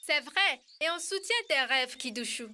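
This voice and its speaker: A woman's voice: sits high, expressive, moderate pace, bright, forceful tone, slight background noise, full character voice. A woman voicing a helpful, earnest schoolchild in a children's cartoon. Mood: supportive, hopeful. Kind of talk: French-language children's cartoon dub.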